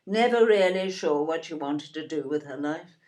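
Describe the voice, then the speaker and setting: A woman's voice: deep voice, expressive, normal speed, darkish tone. An actress playing a snobbish older mother from southern England in an audio play, genteel in accent, gushing and condescending in manner. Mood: proud, dismissive. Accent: southern English, genteel. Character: snobbish, gushing, genteel middle-class English mother-in-law type.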